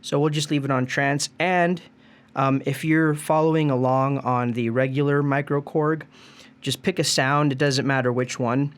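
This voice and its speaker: A man with a mid-pitched voice walks through a piece of music gear step by step. He speaks casually and informally, like a presenter guiding someone who is following along, with easygoing instructions. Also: steady tone, normal speed, slight background noise.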